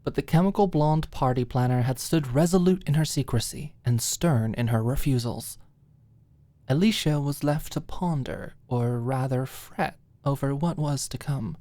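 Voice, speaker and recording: Woman, ASMR audiobook narrator, very low pitch, dry sound, scripted fiction. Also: moderate pace, dark tone, dry recording.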